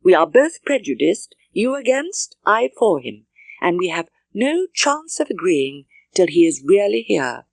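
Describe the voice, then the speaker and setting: A woman voicing a spirited, stubborn young Regency gentlewoman in an audiobook, with genteel, emphatic British Received Pronunciation. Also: on the low side, big pitch swings, measured pace, creaky, no room sound, heavily acted. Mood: defiant. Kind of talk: audiobook character dialogue.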